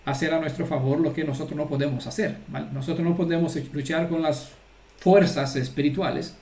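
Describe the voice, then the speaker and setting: A man's voice: fairly high pitch, mellow. Earnest man teaching in an echoey room. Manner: earnest, keeps checking in with listeners. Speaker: Torah teacher. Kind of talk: informal religious teaching.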